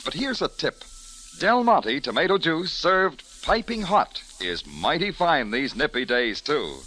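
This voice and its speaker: A fairly high-pitched, forceful male announcer with vintage radio polish. He reads scripted sales patter with big swings of pitch. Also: very animated, a little slow, poor audio. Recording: old radio broadcast recording.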